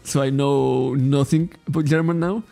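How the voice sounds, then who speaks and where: A man's voice: medium pitch, fairly even intonation, moderate pace, creaky, dark tone, soft background music. A man from Spain, a native Catalan speaker with a Catalan accent in English, chatting casually on a card shop livestream, rambling, correcting himself and laughing often. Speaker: trading card shop streamer.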